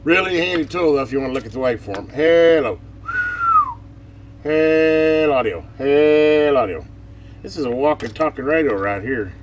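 Folksy guy doing a casual bench demo, swingy pitch, mic kinda far off and noisy. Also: lively intonation, moderate pace. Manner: folksy, informal talk. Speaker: host of an electronics repair channel. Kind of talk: casual hands-on bench demonstration. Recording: distant mic, noisy with loud hum.

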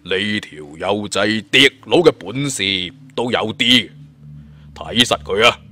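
A man's voice: lowish voice, animated, takes it slowly, pushed and bright, no echo, full character voice. A man voicing a burly, boastful and menacing bandit villain in for an audiobook adventure tale. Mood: confident, menacing. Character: swaggering hot-tempered burly male bandit villain.

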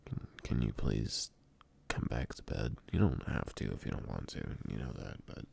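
A man playing a sleepy, affectionate boyfriend in an intimate ASMR roleplay, murmuring tenderly and haltingly in a gravelly, husky morning voice. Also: rumbling low, expressive, a little mellow, exaggerated voice. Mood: drowsy, pleading. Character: sleepy, affectionate boyfriend coaxing partner back to bed.

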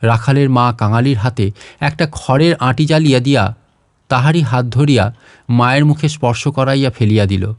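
A man's voice: medium pitch, moderate pace, no echo, clean studio sound. A man narrating a literary short story as a scripted audiobook reading.

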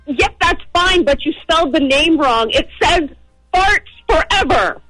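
Exasperated female customer complaining by phone, very high voice, swinging emphatic delivery. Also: expressive, a little slow, bright, forceful tone, no room sound, soft background music, rough recording. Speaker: prank call victim, customer.

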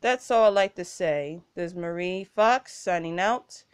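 A woman's voice: on the low side, measured pace, smooth, dry recording, clean studio sound. A young adult woman delivering a scripted commentary rant in a complaining, slightly stilted way. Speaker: YouTuber giving a rant.

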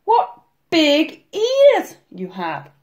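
Sweet little storybook girl, played by a woman, high-pitched, polite, wide-eyed, lilting. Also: wildly swooping pitch, slow pace, full character voice. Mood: wondering, wary. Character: sweet, curious little girl in a fairy tale.

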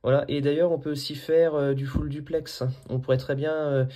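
Mellow-voiced man, casual demo. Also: a little high, flat delivery, normal speed. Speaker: amateur radio operator filming a demo. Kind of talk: casual radio chat and live demonstration. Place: small indoor room at a desk.

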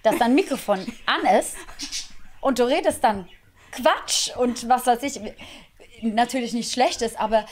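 A woman in her thirties, chatting casually as an interview guest, lively and laughing often. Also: fairly high pitch, measured pace. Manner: lively, laughs often, frequent agreeing "genau". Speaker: guest singer. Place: small indoor room, seated on a sofa.

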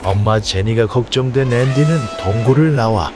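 Man narrating a children's story over loud music. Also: mid-range voice, moderate pace.

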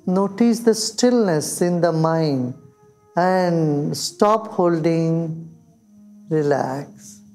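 An older man with an Indian accent leading a guided meditation, speaking slowly and soothingly with long pauses. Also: a little high, a little mellow. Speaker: meditation teacher. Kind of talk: guided meditation in English and Hindi. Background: background music.

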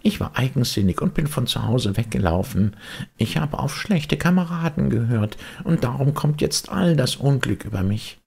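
Wooden puppet boy, storybook, high strained voice, plaintive, naive. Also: lively intonation, normal speed, creaky, a little mellow, slightly rough. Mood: remorseful, plaintive.

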